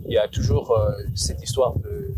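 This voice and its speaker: A man's voice: lowish voice, moderate pace, noisy background. A middle-aged man with a Congolese French accent, a former sports federation president giving an interview, hesitant with many fillers and restarts. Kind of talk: conversational interview.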